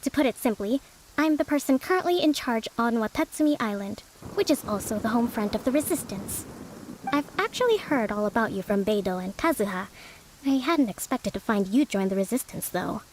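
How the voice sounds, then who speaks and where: A woman playing a young priestess who leads a resistance army, speaking in a polite, formal, measured way in a video game. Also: fairly high pitch, animated, a little hiss or hum, lightly acted, rough recording. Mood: courteous.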